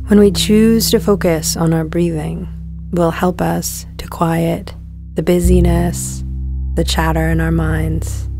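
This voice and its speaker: Low, creaky-voiced woman guiding meditation. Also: over music. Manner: calm, soothing, measured delivery with soft phrase endings. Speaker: meditation guide. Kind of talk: guided meditation narration.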